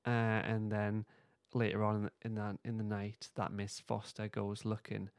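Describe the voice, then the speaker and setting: A man's voice: fairly low pitch, completely flat delivery, moderate pace, gravelly, clean tone, no room sound, clean studio sound. A man hosting a podcast, casually recapping and discussing in an informal way. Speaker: podcast host.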